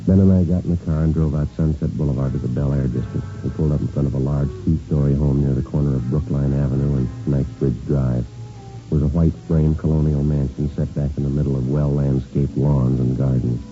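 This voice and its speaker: An actor playing a terse, deadpan police detective who narrates in clipped, matter-of-fact fashion in a 1950s radio drama. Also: male voice, extremely low pitch, brisk. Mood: deadpan narration. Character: terse, businesslike male police detective sergeant. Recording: muffled vintage radio recording, music underneath.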